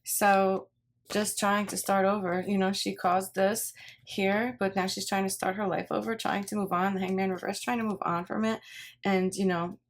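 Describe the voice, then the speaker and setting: A woman's voice: medium pitch, little pitch movement, normal speed. A woman giving a casual tarot reading, thinking aloud in a rambling way and often repeating her phrases. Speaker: tarot reader. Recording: clear background noise, card shuffling and clicks.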